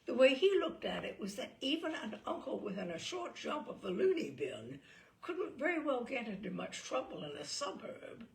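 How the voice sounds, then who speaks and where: Creaky, very animated woman reading comic fiction. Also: on the high side, normal speed. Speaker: story reader. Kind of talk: expressive narration of a comic short story.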